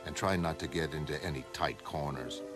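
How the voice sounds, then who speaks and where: A man's voice: a bass voice, fairly quick. A man playing a terse, controlling official handler in a motion comic, giving clipped instructions. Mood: cautioning. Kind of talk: motion comic character acting. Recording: music behind the voice.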